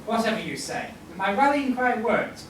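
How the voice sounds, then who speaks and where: A brash, headstrong rebel pony, played by a man boasts in a high, declaiming voice for a radio play. It is very echoey and distant, with noise behind. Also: lively intonation, normal speed. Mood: boastful. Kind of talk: read-through of radio play script. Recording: very echoey convention room, distant, noisy.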